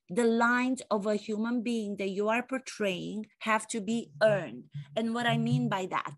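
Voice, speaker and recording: A woman in her forties with a Romanian accent, an acting coach giving a fervent monologue full of rhetorical questions and repeated phrases. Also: medium pitch. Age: 40s. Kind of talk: impassioned monologue to camera.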